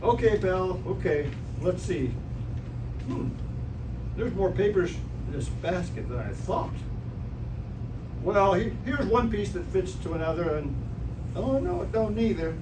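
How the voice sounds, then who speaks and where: A dopey but good-natured high school boy played by a man, high-voiced and folksy. He speaks hesitantly and earnestly in stage radio-comedy style. The sound is distant, roomy and noisy. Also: animated, measured pace, long pauses. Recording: distant, echoey stage recording with noise.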